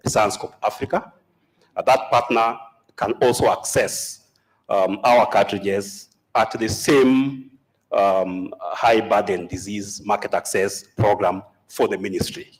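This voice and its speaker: A man from Kenya, a company representative, giving a formal speech at a health meeting, halting with many fillers. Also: on the low side, poor audio.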